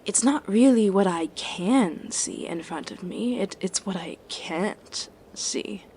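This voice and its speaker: A woman's voice: slow, mellow. A woman playing a tabletop role-playing game, casually speaking for and describing her character. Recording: faint low rumble noise.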